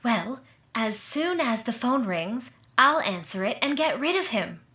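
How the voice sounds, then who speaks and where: A woman's voice: on the high side, lively intonation, a little slow, bright, forceful tone. A woman playing a nosy, helpful friend with a coaxing manner in a re-created 1940s radio comedy. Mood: conspiratorial. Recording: roomy, echoey stage-like sound.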